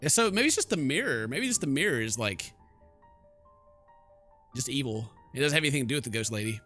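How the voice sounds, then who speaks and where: A man's voice: mid-range voice, animated, brisk, bright, forceful tone. A man hosting a let's play, reading the game's narration theatrically and breaking into slangy, joking asides. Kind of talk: reading game narration aloud with joking commentary. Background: game music.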